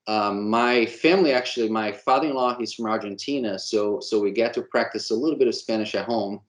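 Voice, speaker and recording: A man's voice: fairly low pitch, brisk, roomy sound. A man from Brazil whose first language is Portuguese, an insurance agent answering interview questions with many fillers and restarts, speaking with a Brazilian Portuguese accent.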